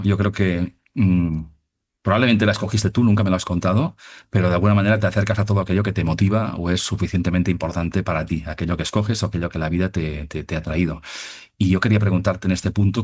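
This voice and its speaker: Man, podcast host, very low voice, husky, wordy, easygoing interviewer. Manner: warm, wordy, with frequent restarts. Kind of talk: interviewer's casual chat.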